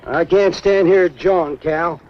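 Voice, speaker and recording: An actor playing a meek, henpecked middle-aged storekeeper with a folksy Southern American accent in a 1950s B-movie. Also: male voice, high voice, steady tone, a little slow. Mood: resigned. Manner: folksy and placating. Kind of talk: 1950s B-movie film acting. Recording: noisy, dull 1950s film soundtrack.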